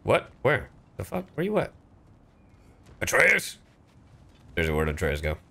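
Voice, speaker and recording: A man's voice: on the low side, huge pitch swings, a little slow, vocal fry, bright, forceful tone, slight background noise. A man giving casual, playful gameplay commentary as a streamer, exclamatory and full of swearing. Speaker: streamer, voice actor.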